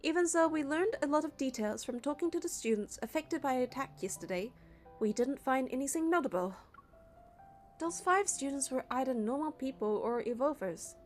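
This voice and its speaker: A young woman narrating a game playthrough, reading the story and the player character's part in a casual way. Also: high-pitched. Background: music.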